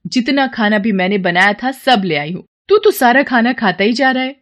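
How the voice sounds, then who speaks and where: A woman's voice: fairly high pitch, sing-song, moderate pace, pitched above the performer's own voice. Woman acting a stingy, grumbling housewife. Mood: exasperated. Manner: complaining, scheming. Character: stingy, grumbling housewife, wary and easily exasperated. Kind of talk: acted dialogue in a devotional storybook tale.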